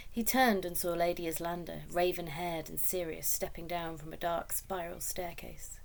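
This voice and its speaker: A woman reads a children's story aloud. Her voice is a little lower than average. The delivery is scripted, expressive storybook narration. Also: moderate pace, gravelly. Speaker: story reader.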